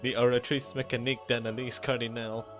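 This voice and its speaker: A man's voice: medium pitch, flat delivery, fast. A man giving casual, informal and reactive gameplay commentary as a streamer, mildly profane. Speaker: gaming streamer. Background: faint game music.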